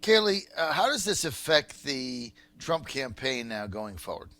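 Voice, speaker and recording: An American man hosting political talk radio, with an incredulous, emphatic and halting way of talking. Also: mid-range voice, big pitch swings, bright, forceful tone. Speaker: radio talk show host.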